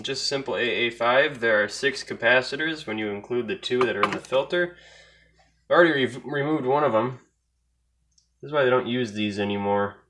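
A man's voice: fairly low pitch, sharp tone. A man casually narrating a repair job as he works, thinking aloud in an offhand way. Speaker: hobbyist radio repairer. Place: indoor workbench.

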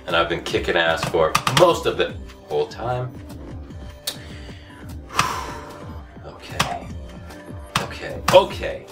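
A hoarse man in his thirties gives brash, self-hyping game commentary, his pitch leaping wildly from grumbling lows to yelling highs. He sounds a little away from the mic in a room at home. Loud game music plays behind him. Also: very animated, slow pace, pauses often, far from the mic. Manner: cocky, self-hyping, swings from mutters to shouting outbursts. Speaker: comedy gaming host. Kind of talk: over-the-top comedic game commentary.

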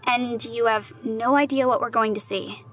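A woman's voice: fairly high pitch, steady tone, moderate pace, a bit bright, faint music behind, natural voice. An actress playing a nervous young woman in a horror audio drama, a loyal friend who talks in questions. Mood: anxious resolve.

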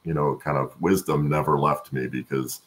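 Male interview guest, middle-aged, extremely low gravelly voice, conversational and explanatory. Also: steady tone, moderate pace. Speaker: guest, supply chain expert. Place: home room, on a video call.

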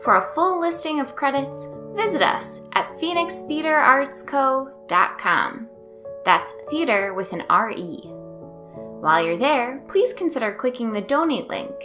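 A woman with a fairly high voice reads scripted credits as an announcer. Music plays clearly behind her. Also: very animated, a little slow.

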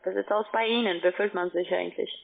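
A woman's voice: medium pitch, steady tone, fast, vocal fry. A woman, a German speaker, talking formally as a financial adviser on a sales call over the telephone. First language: not German. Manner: formal, non-native phrasing. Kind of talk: phone sales conversation. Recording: tinny narrowband phone line.

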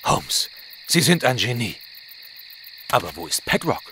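An actor playing a proper, excitable Victorian country gentleman with a formal manner in an audio drama. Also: medium pitch, a little slow, a little hiss or hum. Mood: shocked. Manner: formal, dignified. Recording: loud outdoor ambience with insects.

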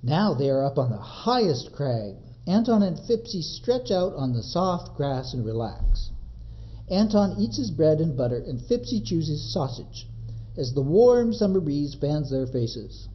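A man reading a children's book as a librarian, in expressive narration with dramatic, measured phrasing. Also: on the high side, muffled recording.

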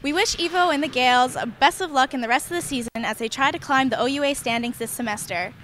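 A woman's voice: sits high, fast, slight background noise. Young woman reporter reading news. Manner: even, read-aloud delivery. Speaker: campus TV sports reporter. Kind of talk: scripted news narration. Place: indoor gym. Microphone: handheld mic.

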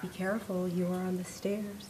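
A hushed, gentle female machine voice put on by a woman delivers each line quietly and evenly, set a little lower than the woman's own tone. It sounds picked up from a distance, a touch removed from the mic. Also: normal speed, a little hiss or hum, natural voice. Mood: calm. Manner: soft, even, low-key announcements.

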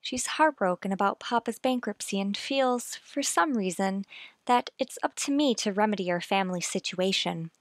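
A woman's voice: medium pitch, expressive, normal speed, no room sound, clean studio sound, lightly acted. A woman playing a genteel, dreamy young lady in an old-time radio drama. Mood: confiding. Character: genteel young single woman writing letters, intrigued, dreamy.

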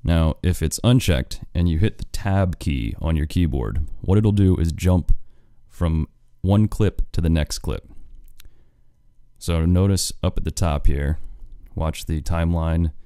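Low, gravelly American man teaching software. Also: unhurried, no room sound, clean studio sound. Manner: plain step-by-step explaining. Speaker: audio engineer and instructor. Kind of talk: screen-recorded software tutorial.

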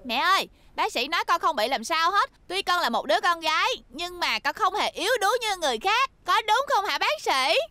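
A woman's voice: extremely high pitch, very animated, a little fast, hardly any pauses, dry recording, exaggerated voice. A woman voicing a spunky, hot-tempered little schoolgirl in a dubbed children's cartoon, with bold theatrical delivery. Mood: reassuring. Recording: loud music under the voice.

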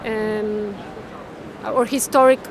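A woman, talking haltingly and thoughtfully about her artwork, often tagging phrases with "no?". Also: mid-range voice, fairly even intonation. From Mexico. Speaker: artist. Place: large indoor art fair hall. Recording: crowd chatter in a busy hall.

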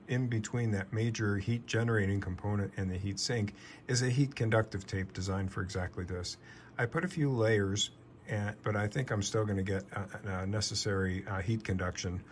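A man narrating a hands-on project in a casual, explanatory, lightly wry conversational way. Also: on the low side. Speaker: host of an electronics hobby channel. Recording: clear steady background noise.

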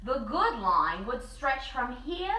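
A woman co-hosting a story time, reading a picture book aloud in an expressive, deliberate way with drawn-out words. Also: high voice. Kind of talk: animated children's story read-aloud. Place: small living room with fireplace and bookshelves. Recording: roomy, slightly distant camera mic.

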